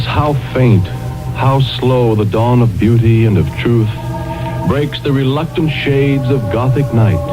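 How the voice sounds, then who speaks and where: A man narrating an old-time radio soap opera introduction in a solemn, lofty, measured way. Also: medium pitch, low-quality recording. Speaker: radio serial announcer. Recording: old radio recording, loud music.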